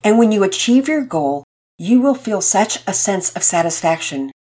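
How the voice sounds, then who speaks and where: A woman voicing a gentle father in a storybook, speaking patiently and earnestly to his son. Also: medium pitch, big pitch swings, moderate pace.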